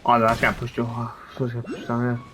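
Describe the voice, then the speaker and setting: A man's voice: mid-range voice, flat delivery, fast talker, fairly bright tone. A man giving casual gameplay commentary as a streamer, rambling and often trailing off mid-thought. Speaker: game streamer. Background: game music.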